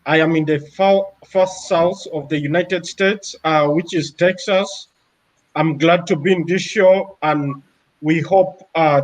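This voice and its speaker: Guy with a higher voice, formal quick intro on a panel. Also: rough recording. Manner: formal, greets viewers. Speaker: panel guest. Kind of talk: brief self-introduction.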